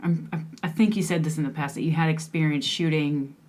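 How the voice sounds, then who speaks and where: A woman's voice: low voice, fairly even intonation, a little fast, darkish tone. A young woman hosting an interview, chatty and affirming, with frequent back-channel responses. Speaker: interview host. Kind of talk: casual interview conversation.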